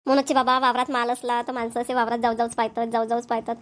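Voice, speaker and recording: Woman voicing a high-pitched, fretful village farm wife. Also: completely flat delivery, fast talker, close to an everyday voice. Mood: upset, scolding. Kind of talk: Marathi village cartoon comedy dialogue.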